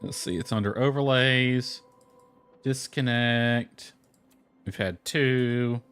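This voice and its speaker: A man's voice: mid-range voice, steady tone, slow, fairly bright tone, clean tone. A man livestreaming a video game, talking casually to himself and reading game text aloud. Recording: music playing behind the voice. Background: lo-fi music.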